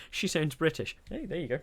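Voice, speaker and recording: A man's voice: on the high side, big pitch swings, moderate pace, slightly rough. A man streaming a space game, chatting casually, reading chat aloud and laughing often.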